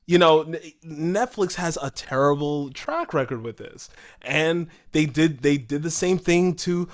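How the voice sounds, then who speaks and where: Male, moderately high pitch, very varied intonation, animated co-host, casual conversational podcast style. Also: unhurried.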